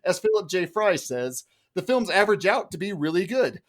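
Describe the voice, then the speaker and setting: A man's voice: sits high, sing-song, normal speed. A man arguing a humorous film critique as a mock prosecutor, in a mock-formal, exasperated way. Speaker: podcast panelist playing a mock prosecutor.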